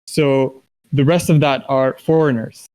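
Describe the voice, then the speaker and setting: A man from Kuwait answers casually in an interview. His voice sits at a medium pitch, and he builds his thoughts haltingly, in short broken phrases. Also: steady tone, darkish tone, poor audio. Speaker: interview guest, esports professional.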